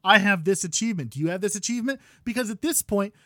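A man in his thirties hosting a gaming podcast, ranting loudly with exasperation while losing his voice. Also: high-pitched, lively intonation, fast talker, no echo, clean studio sound. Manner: loud exasperated ranting, swings to quiet muttering. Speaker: podcast host.

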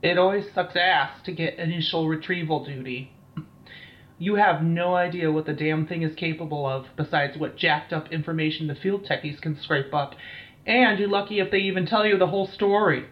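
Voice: medium pitch, normal speed, close to an everyday voice. An actor playing a shaken, foul-mouthed military team captain in an audio drama, blunt and weary, on a slightly dull recording. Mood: bitter, blunt. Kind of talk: dramatised incident interview, audio drama acting.

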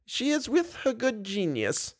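A man's voice: very high-pitched, wildly swooping pitch, unhurried, pitched above the performer's own voice, full character voice. A man reading aloud, voicing a kindly, bedridden old woman who speaks with simple, cheerful faith. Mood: gentle.

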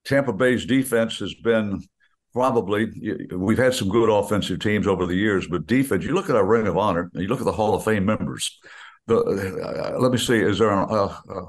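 An older American man, a radio play-by-play announcer, chatting about football in a rambling way, full of restarts and asides. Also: lowish voice. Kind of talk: casual sports radio chat.

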